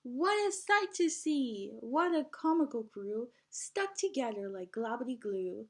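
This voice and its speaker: A woman reading a children's picture book aloud in an animated teacherly way, guiding young listeners with questions and prompts. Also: on the high side, measured pace.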